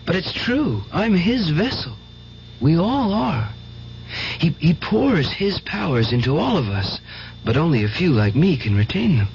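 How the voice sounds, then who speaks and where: An actor playing an earnest, needy, devout nephew in an old radio drama. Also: male voice, on the high side, big pitch swings, measured pace, a little mellow. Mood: fervent. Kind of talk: 1970s radio drama acting. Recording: muffled, hissy old radio recording.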